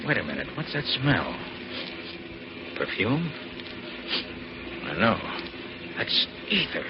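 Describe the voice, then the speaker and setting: Casting: assured male private detective, lowish authoritative voice, noisy vintage radio sound. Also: very animated, slow. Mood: musing, watchful. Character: confident, commanding male master detective. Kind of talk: 1940s radio acting. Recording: dull, noisy 1940s radio recording.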